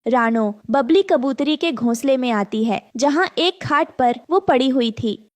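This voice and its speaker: A woman narrates a cartoon storybook tale from a script. Her voice is fairly high, and the sound is dry and close. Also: lively intonation, unhurried, no echo.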